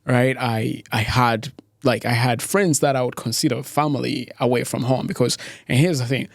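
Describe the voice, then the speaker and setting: A young man from Tanzania, a podcast guest talking casually, full of restarts and quick laughs. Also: mid-range voice, steady tone, creaky, no room sound, studio quality. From East Africa, born in Tanzania. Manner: halting, many restarts and "like", laughs easily. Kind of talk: casual interview chat.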